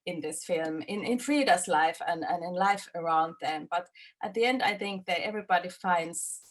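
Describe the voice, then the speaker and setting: Woman director, 30s, English, halting answers full of fillers. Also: lowish voice. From Finland. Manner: halting, many fillers and restarts. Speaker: film director. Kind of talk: festival interview, casual answers.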